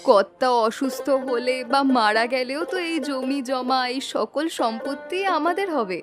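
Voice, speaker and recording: A woman's voice: high voice, normal speed, over music, close to an everyday voice. A woman voicing a greedy, ruthless, scheming younger co-wife in a melodramatic cartoon.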